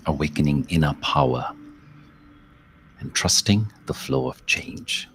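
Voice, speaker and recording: A man leading a guided meditation as host, speaking in a measured, contemplative way with long pauses and softly trailing phrases. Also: rumbling low, expressive, a little hiss or hum. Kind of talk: slow guided meditation, spoken-word reflection with verse.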